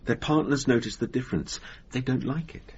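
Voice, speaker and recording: A man playing an intense, halting hypnotherapist in a naturalistic radio drama. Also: mid-range voice, a little hiss or hum, lightly acted. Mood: earnest, insistent. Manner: halting, urgent, insistent. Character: intense, hesitant male hypnotherapist, insistent and secretive. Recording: dull lo-fi radio broadcast.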